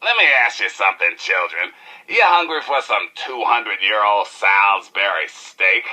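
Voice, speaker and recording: An actor playing a cocky, flamboyant post-apocalyptic rebel radio DJ with an American accent and showy, slangy delivery in a video game. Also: male voice, on the low side, expressive, bright, forceful tone, heavily acted, low-quality recording. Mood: wry, confiding. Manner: showy, slangy, drawn-out emphasis with howls. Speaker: radio DJ and newscaster. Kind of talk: theatrical radio DJ patter.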